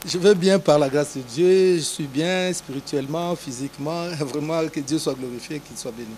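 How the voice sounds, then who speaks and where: A man's voice: fairly high pitch, darkish tone, slight background noise. Preacherly pastor, man. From Democratic Republic of the Congo. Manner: measured, preacherly, with filler pauses. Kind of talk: interview answers.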